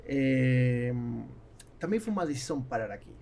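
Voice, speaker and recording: A middle-aged man, a native Spanish speaker with a South American accent, talking casually and haltingly as an interview guest. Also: mid-range voice, expressive, darkish tone, a little hiss or hum. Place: small studio with acoustic foam, indoors. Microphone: podcast microphone on boom arm.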